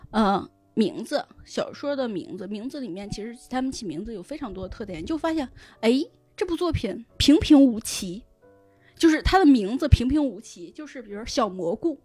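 A woman speaking as a podcast guest in casual chat, explaining things in an animated way with frequent check-in questions. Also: a little high, normal speed, husky, dry recording, soft background music. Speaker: guest, novel recommender and editor.

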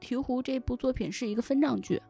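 A woman's voice: a little high, fast, vocal fry. A woman guest explaining things in a chatty way during a casual podcast conversation. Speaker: guest, web-fiction editor. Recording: music behind the voice. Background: music.

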